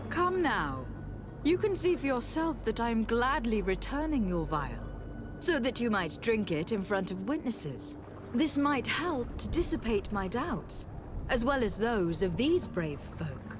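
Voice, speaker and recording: An actress playing a stern noblewoman diplomat in a video game, speaking in a formal, measured, authoritative way. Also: a little high, big pitch swings, background noise. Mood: firm, challenging.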